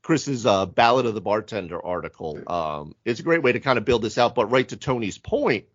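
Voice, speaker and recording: Animated male podcaster, lo-fi sound. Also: lowish voice, brisk. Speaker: podcast host. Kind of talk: casual panel chat about tabletop games. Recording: dull lo-fi audio.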